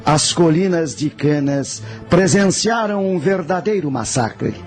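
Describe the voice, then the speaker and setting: A man's voice: a little high, animated, measured pace, darkish tone, soft background music. A man playing a proud ancient general who recounts battle in a solemn, formal way in a radio drama. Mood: grave, commanding.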